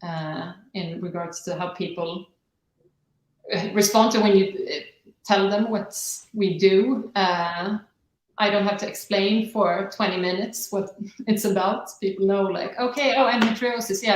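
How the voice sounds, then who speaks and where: A woman's voice: medium pitch, steady tone, unhurried. Informal woman in an echoey room. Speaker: activist, interview guest from a nonprofit. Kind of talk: casual interview chat.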